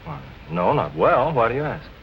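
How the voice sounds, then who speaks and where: Creaky-voiced, protective noir suitor. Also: male voice, mid-range voice, sing-song, moderate pace, muffled recording. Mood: guarded, curious. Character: protective, slightly jealous suitor, uneasy man. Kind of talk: 1940s film noir acting. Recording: noisy old film soundtrack.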